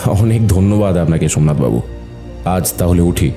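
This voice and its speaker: A man acting a calm, patient, shrewd doctor turned detective in an audio drama, measured and mentoring. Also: low voice, faint music behind. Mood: polite.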